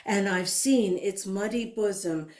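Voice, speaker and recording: A woman reading a children's picture book aloud in a dramatic, deliberate way, drawing out words and leaning on repeated phrases. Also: medium pitch, a little mellow. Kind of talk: expressive storybook read-aloud. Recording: roomy, echoing indoor sound.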